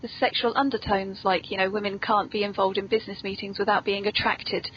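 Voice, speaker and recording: A woman's voice: mid-range voice, flat delivery, brisk. A British woman hosting a podcast, chatting casually and emphatically as she interviews a guest about films. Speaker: podcast host. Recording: dull lo-fi audio with clear noise.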